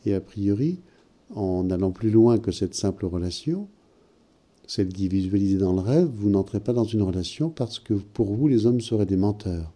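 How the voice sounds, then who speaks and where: Male host, calm and probing in his questions, deep dark tone. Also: moderate pace. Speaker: host interpreting dreams. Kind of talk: counselling talk.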